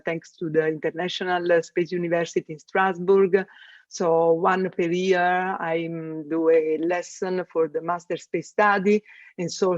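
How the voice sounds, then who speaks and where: Italian man, high voice, halting English. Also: no echo, highs cut off. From Italy. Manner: hesitant, many fillers, non-native phrasing. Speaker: guest, space systems engineer. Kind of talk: interview answers.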